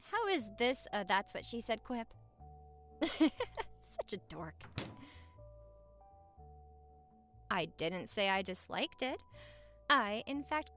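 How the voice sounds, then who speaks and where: High, lively, playful young wife played by a woman. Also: full character voice. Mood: playful, affectionate.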